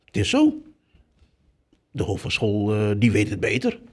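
A man's voice: lowish voice, huge pitch swings, a little slow, slightly rough. A man in his fifties from Curaçao telling a personal story in conversation, emphatic and acting out what others said. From Curaçao, moved to the Netherlands at eight. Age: 50s. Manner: emphatic, acts out quoted speech. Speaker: interviewed guest, activist.

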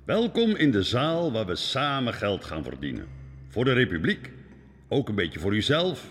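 Bossy old-time statesman in a radio play, lowish animated voice, formal and blunt. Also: moderate pace. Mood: formal, welcoming. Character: commanding 17th-century statesman chairing a merchants' meeting. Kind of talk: historical audio drama acting. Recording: long hall reverb.

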